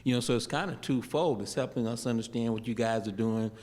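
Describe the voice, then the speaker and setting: A man's voice: medium pitch, fairly quick, darkish tone. A man speaking earnestly at a community meeting. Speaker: community participant. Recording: reverberant hall.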